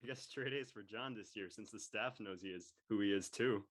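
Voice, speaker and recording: A man playing a brash, image-obsessed high-school boy in an amateur script reading, blunt and mocking. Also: moderate pace, close to an everyday voice. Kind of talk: amateur audio drama table read.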